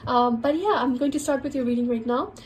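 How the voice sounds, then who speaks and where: A woman's voice: sits high, brisk, darkish tone, slight background noise. A woman reading tarot to camera in a casual, chatty way, a little sick, her voice nasal and cracking at times. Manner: chatty, heavy on filler words. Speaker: tarot reader.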